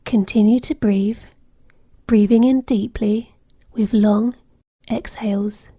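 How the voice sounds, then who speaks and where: Slow, breathy woman guiding meditation. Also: medium pitch, fairly even intonation, dry recording. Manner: soothing, gentle, measured instruction with soft breathy phrase endings. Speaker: meditation guide. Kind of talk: guided meditation narration.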